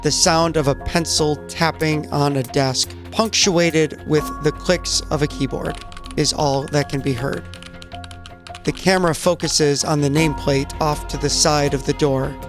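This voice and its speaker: Narrator: male, slightly high register, cinematic scene-setting from a script, prominent music bed. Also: steady tone, measured pace. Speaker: narrator and player.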